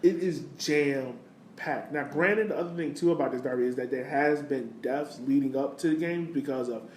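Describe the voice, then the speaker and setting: A man's voice: mid-range voice, moderate pace, darkish tone. A man co-hosting a podcast, chatting casually about sports. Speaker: podcast host. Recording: roomy echo, background noise.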